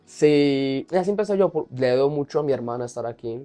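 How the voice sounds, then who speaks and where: A man's voice: mid-range voice, animated, fast talker, dark tone, soft background music. A man with a Colombian accent telling his personal story casually and candidly as an interview guest. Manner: candid, often laughing as he talks.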